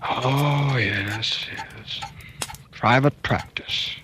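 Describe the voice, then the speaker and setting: An actor playing a weary, trapped doctor who is also the narrator, in a 1940s radio drama. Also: male voice, extremely slow. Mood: bitter. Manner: bitter, low and confiding. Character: weary trapped middle-aged male doctor. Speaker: narrator and lead character. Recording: old radio broadcast with faint hiss.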